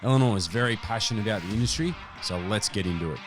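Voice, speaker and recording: A man's voice: lowish voice, very fast, over music. An Australian man hosting an interview podcast. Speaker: podcast host.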